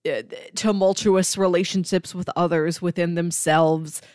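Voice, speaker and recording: A woman's voice: on the low side, steady tone, a little slow, a little mellow, no room sound. Articulate woman podcaster with dry, close sound. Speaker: podcast co-host. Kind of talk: casual comics discussion.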